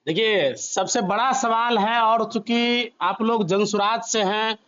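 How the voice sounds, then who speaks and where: A man's voice: high voice, slow, a bit bright, clean tone, lo-fi sound. A man interviewing a guest for a news outlet over a call, putting probing questions.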